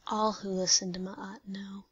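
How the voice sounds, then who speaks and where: A woman playing a serene, loving goddess and devoted daughter in an audio drama, soft, breathy and comforting. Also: medium pitch, little pitch movement, a little slow, no echo, highs cut off. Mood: tender, concerned. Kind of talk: dramatic reading like a radio play.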